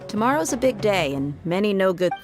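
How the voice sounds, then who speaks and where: A protective female mercenary , voiced by a woman, speaks in a wry, matter-of-fact way at a mid pitch. Game music plays clearly behind her. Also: big pitch swings, brisk, close to an everyday voice. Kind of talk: game cutscene dialogue.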